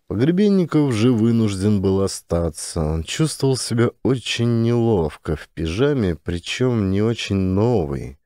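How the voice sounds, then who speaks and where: A man's voice: low-pitched, warm tone, no echo, studio quality. A man reading satirical fiction as a dramatic audiobook narrator.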